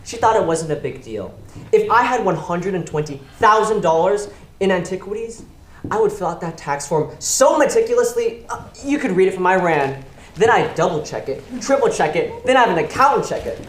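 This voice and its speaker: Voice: mid-range voice, expressive, normal speed, close to an everyday voice. An actor in a stage play playing an indignant, cynical loan shark who rants with sarcastic emphasis. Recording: roomy live theater, audible noise.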